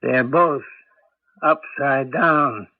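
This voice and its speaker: An actor playing an excitable, doting old grandfather in a 1980s radio drama, with a husky voice. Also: mid-range voice, drawn-out words, no echo, soft background music, full character voice. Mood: cautious. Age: older. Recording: tinny, band-limited old radio broadcast.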